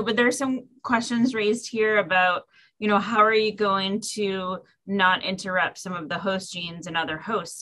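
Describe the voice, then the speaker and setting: A woman's voice: mid-range voice, pushed and bright. A woman researcher answering questions on a webinar video call, hesitant with many fillers and restarts.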